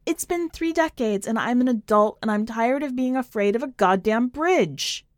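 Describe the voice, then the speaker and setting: A woman's voice: on the high side, lively intonation, moderate pace, no echo, studio quality, voice pushed up, close to an everyday voice. A woman voicing a tired, bitter and defiant middle-aged woman in an audio story.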